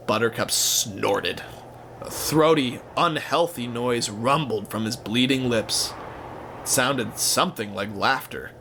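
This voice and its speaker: A man's voice: mid-range voice, a bit bright, a little hiss or hum. A man narrating a scripted story in a suspenseful, theatrical way. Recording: music behind the voice.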